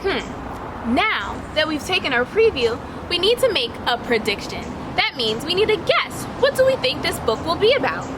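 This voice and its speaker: A woman with a high, very lively voice reads a children's story aloud in an instructive teacher's way. She addresses young listeners directly and shapes the narration expressively. There is clear background noise, like street ambience. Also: moderate pace. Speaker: teacher reading a picture book aloud.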